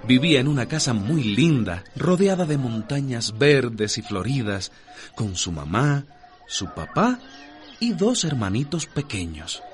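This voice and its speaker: A man's voice: medium pitch, very animated, unhurried. A man narrating a radio drama for children as a storyteller. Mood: gentle storytelling. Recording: music bed under the voice. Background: music.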